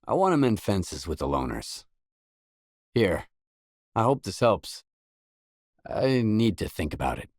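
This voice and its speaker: A man voicing a gruff, laconic video game mercenary who speaks in a guarded, matter-of-fact way. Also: fairly low pitch, sing-song, unhurried, pauses often, darkish tone, no echo, clean studio sound. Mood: guarded, conciliatory. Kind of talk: video game dialogue lines.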